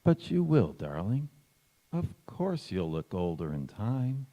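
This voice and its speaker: A man acting in a stage radio play as a fond, gently teasing husband, indulgent and theatrical in his delivery. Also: lowish voice, sing-song, slow, dull audio, full character voice. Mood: reassuring, teasing. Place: large reverberant church or hall. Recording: echoey hall.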